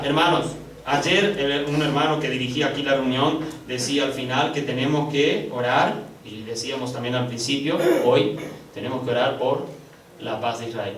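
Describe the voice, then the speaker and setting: A male pastor preaching with sharp swings between soaring high stresses and quiet, low stretches. He draws words out slowly for weight and talks straight to his congregation as brothers. His voice rings through a reverberant hall, picked up from some distance. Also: a little hiss or hum. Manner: addresses congregation as brothers, slow drawn-out stresses. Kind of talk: sermon. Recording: echoey room, picked up from a distance.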